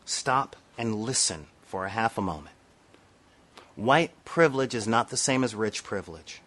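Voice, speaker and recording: A man's voice: lowish voice, expressive, moderate pace, a bit bright. A middle-aged man from Los Angeles with an American accent, speaking an unscripted, earnest opinion rant to camera and repeating phrases for stress. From South Central Los Angeles, United States. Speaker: vlogger.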